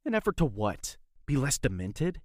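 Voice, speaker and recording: A very low, husky young man's voice, acted by a woman. He is an earnest, wounded former lover in an audio drama, urgent and pleading. The delivery swings widely in pitch with intense feeling. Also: very animated, moderate pace, a little mellow, no room sound, clean studio sound, exaggerated voice. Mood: accusing, cold.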